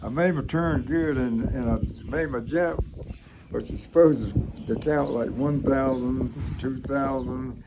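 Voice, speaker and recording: A man's voice: mid-range voice, fairly even intonation, unhurried, noisy background. An elderly man in his eighties with a Southern American accent, telling stories from his life in a folksy, rambling way and acting out remembered conversations. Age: 80s. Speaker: grandfather recounting his life. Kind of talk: casual oral storytelling.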